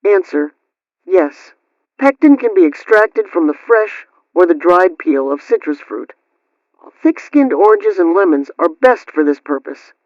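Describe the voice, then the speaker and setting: High-pitched man reading a dull-sounding radio bulletin. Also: animated, measured pace, no echo. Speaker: radio host presenting household advice. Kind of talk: scripted read narration, question-and-answer household bulletin.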